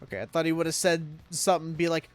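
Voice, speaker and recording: A woman's voice: low voice, steady tone, fast talker. A young adult woman giving casual, jokey live commentary on a video game, full of rambling asides. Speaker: game streamer. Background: video game music.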